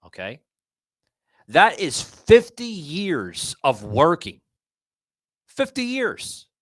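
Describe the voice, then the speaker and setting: A man's voice: on the high side, wildly swooping pitch, extremely slow, a bit bright, no echo. A man in his forties hosting a podcast, rambling and repeating himself as he talks to his listeners. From Florida. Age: 40s. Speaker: podcast host. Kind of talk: casual rant monologue.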